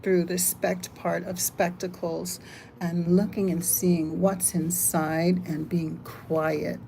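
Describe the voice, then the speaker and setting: A woman's voice: on the low side, flat delivery, slow, gravelly, slight background noise. Chatty older woman hosting a live stream. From United States. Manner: chatty, reads chat aloud, thinks out loud. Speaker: livestream host. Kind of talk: casual live stream chat.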